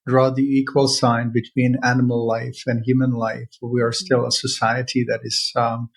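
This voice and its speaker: A man hosts a conversation, his voice husky and slightly breathy. He talks earnestly and haltingly, circling back with fillers and restarts as he works through his thoughts. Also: medium pitch, monotone. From Czech Republic. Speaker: veterinarian podcast host. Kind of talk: casual interview conversation.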